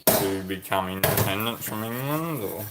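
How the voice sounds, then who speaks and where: A man's voice: fairly low pitch, animated, heavy background noise. A man hosting a casual livestream, reading viewer comments aloud and musing over them. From Australia. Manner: slow, musing, reads comments aloud and ponders. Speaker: livestream host reading chat.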